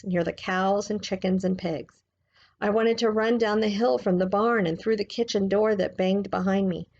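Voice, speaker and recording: A woman reading a book aloud like a teacher, in a gentle, measured, explanatory way. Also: mid-range voice, creaky, dull audio.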